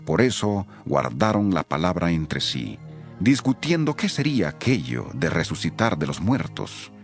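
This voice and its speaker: Low, expressive male scripture narrator. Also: unhurried, faint music behind.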